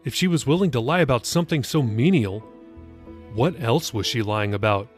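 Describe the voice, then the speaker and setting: A man narrates a story in a mid-pitched voice, reading as the author of the book. The delivery is scripted audiobook narration, with music behind it. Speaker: author reading his own novel.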